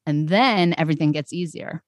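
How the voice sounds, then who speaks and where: A middle-aged woman with a fairly low voice talks animatedly as a podcast guest. She explains ideas through analogies and laughs often. The recording is close and dry. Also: fairly quick, dry recording. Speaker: podcast guest, brand strategist. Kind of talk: casual interview chat.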